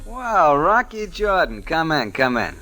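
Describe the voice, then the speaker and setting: A man playing an excitable, defensive small-time prizefighter in an old-time radio drama. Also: fairly high pitch, measured pace, over loud music. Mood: eager, welcoming.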